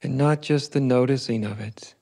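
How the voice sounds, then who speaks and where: A man leading a guided meditation as a teacher, speaking gently in short, spaced phrases with long pauses between them. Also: medium pitch.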